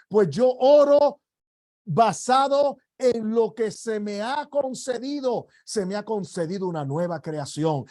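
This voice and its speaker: Slow, high-voiced preacher. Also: male voice. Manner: slow, emphatic preaching with rising shouted declarations. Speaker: apostle teaching a ministry class. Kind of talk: sermon.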